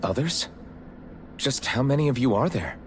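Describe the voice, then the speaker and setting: A man's voice: medium pitch, lively intonation, normal speed, a little hiss or hum, natural voice. A man playing an earnest, resolute young hero in a video game cutscene, speaking seriously. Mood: determined.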